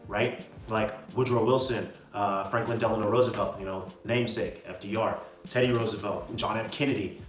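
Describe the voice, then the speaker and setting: A man's voice: on the low side, fairly even intonation, moderate pace. A young man giving casual motivational advice in an informal, slangy way, often checking in with a "right?". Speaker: video host giving self-improvement advice. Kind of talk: casual motivational talk to camera. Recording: roomy echo, distant mic, music behind.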